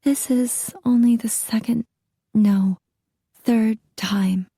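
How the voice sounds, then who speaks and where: A woman voicing a frightened young battered wife who pleads in a shrill, panicky way. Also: steady tone, slow, dark tone, slightly rough, dry recording, full character voice. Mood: ashamed.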